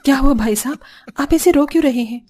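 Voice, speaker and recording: Woman playing a high-voiced humble, earnest mother. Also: a little fast, mellow, close to an everyday voice. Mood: gentle concern. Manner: earnest, polite. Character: kind, humble, devout poor young mother. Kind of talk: Hindi cartoon story voice acting.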